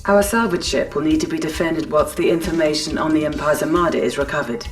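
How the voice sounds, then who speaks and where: Lady doing military computer announcer, deep-ish, measured n impersonal, slightly far, music under it. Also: lightly acted. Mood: detached. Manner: measured, detached, official. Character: cool, impersonal female military announcer system. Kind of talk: scripted game announcements. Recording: slightly distant, music behind.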